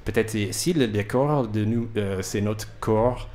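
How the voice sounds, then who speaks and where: A man's voice: fairly low pitch, unhurried, darkish tone, a little hiss or hum. A man hosting a radio interview in French as a second language, searching for his words and often repeating himself. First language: not French, speaks it as a second language. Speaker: radio host interviewing guests. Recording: music playing behind the voice. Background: music.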